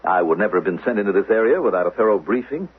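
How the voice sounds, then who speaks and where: An actor playing an officious, probing teacher and suspected spy in a 1970s radio drama, formal and needling, with a husky voice. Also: male voice, fairly low pitch, animated, rapid-fire. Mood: insinuating, probing. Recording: narrow, phone-like old radio sound.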